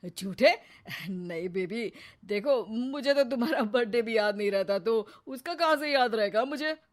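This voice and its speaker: A woman's voice: on the high side, animated, normal speed, lightly acted. A woman voicing a defensive, eager middle-aged husband and father in a cartoon moral story.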